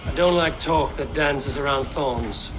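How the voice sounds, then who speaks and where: An actor playing a bold, blunt Viking warrior in a video game cutscene, terse, plain-spoken and steady. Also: male voice, mid-range voice, unhurried, music in the background, lightly acted. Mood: guarded. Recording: clearly noisy ambient background.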